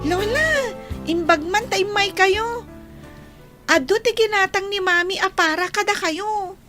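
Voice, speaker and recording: A woman's voice: extremely high pitch, measured pace, sharp tone, soft background music, exaggerated voice. A woman voicing a young child talking earnestly with family, acting in an Ilocano radio drama with a northern Philippine accent. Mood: cheerful.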